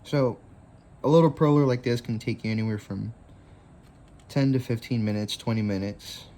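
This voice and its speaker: A man's voice: mid-range voice, normal speed, warm tone. A man in his twenties hosting a casual craft tutorial, rambling easily with lots of fillers. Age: 20s.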